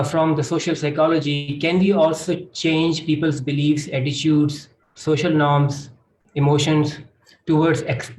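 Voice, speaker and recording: A man's voice: on the high side, darkish tone. Pakistani man explaining in an echoey room. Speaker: academic panelist. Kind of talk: explanatory panel talk. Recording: echoey room with faint noise.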